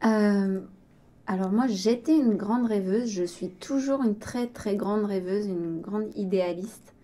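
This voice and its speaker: A woman's voice: mid-range voice, a little slow, mellow. A woman from western France with a French accent from France, telling personal stories casually as an interview guest, in a hesitant, reflective manner. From Vendée, western France. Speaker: interview guest, arts organisation director.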